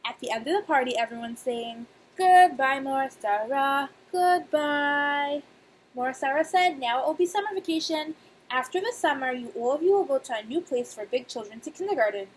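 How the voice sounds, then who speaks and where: A young woman, a nursery teacher, reading aloud and talking to young children in simple, expressive speech with emphatic pauses. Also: high-pitched, poor audio. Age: young adult. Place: small indoor room. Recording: clear background noise, webcam-style room recording.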